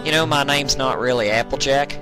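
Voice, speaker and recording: A man's voice: mid-range voice, fairly quick, voice pushed up, natural voice. A man plays a young female farm pony in a western storybook. The voice is lifted well above his own and comes out eager and chatty, headstrong and honest. Music plays behind the lines. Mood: chatty, relaxed. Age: young adult.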